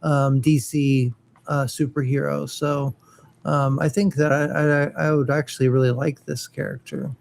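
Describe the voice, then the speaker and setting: Stammering higher-voiced male co-host. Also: flat delivery, measured pace, gravelly, darkish tone, slight background noise. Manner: stammering, trails off searching for words. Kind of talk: casual chat.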